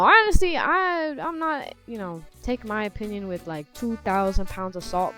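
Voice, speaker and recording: A woman's voice: medium pitch, sharp tone. A woman giving casual, chatty video commentary, informal and opinionated with sarcastic asides. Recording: faint music behind voice.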